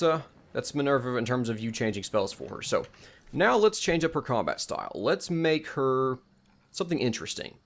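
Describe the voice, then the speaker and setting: A man with a mid-pitched voice presents a game mod in a casual commentary. He explains what he is doing step by step and often thinks aloud as he decides what to try next. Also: vocal fry. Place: indoors at home, voice-over on gameplay.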